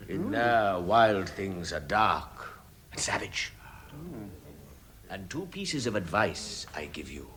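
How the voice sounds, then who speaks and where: An actor playing a hearty, gruff giant of a man in a vintage radio drama, booming and emphatic. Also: a bit bright. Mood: grave warning. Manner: booming, emphatic, swooping from hearty greetings to grave warnings. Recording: vintage radio drama with animal sounds behind.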